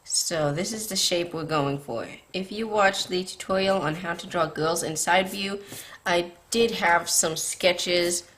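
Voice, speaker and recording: A woman's voice: on the low side, a little slow, fairly bright tone. A woman hosting a drawing tutorial, explaining patiently step by step, her voice tired and scratchy from a late night. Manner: patient, beginner-friendly explaining.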